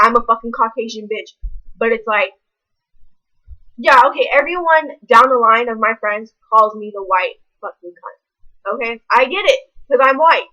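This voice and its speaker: A woman's voice: a little high, sharp tone, lo-fi sound. A young woman, ranting casually to camera in a blunt, rambling way full of swearing. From American South. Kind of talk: casual vlog rant.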